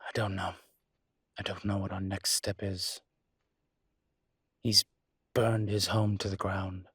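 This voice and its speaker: A suave demon acted by a man for an intimate roleplay audio drama. His voice is lowish and husky, with little melodic movement. He speaks wryly and articulately, proud and protective of his kind, in a very dry, close recording. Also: little pitch movement, fairly bright tone, dry recording, exaggerated voice. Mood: thoughtful, concerned. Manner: wry, articulate, unhurried emphatic phrasing.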